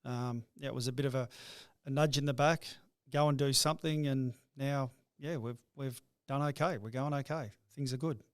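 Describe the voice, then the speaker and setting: A man's voice: mid-range voice, steady tone, clean tone, no echo. A middle-aged man, a business owner talking through his life story in an interview, often stumbling and repeating words as he starts a thought. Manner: halting, repeats words when starting sentences. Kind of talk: reflective interview talk.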